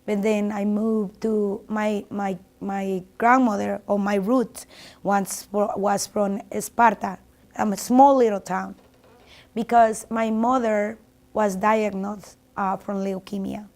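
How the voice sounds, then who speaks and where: A woman's voice: medium pitch, little pitch movement, takes it slowly, darkish tone. A woman in her fifties from El Salvador with a Salvadoran accent, Spanish her first language, speaking in a heartfelt, reflective way in a documentary interview, often restarting her phrases. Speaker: barber shop owner.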